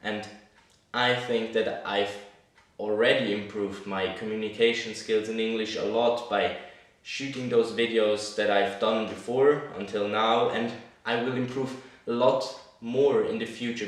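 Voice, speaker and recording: A man whose first language is Swiss German speaks English in a reflective monologue, pausing and rephrasing as he looks for the right words. He sits some way back from the microphone. The room around him rings with strong echo. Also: on the low side. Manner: thoughtful, searching for words, restarting phrases. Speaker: personal brand creator. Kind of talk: reflective talking-head monologue. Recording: echoey room, mic at a distance.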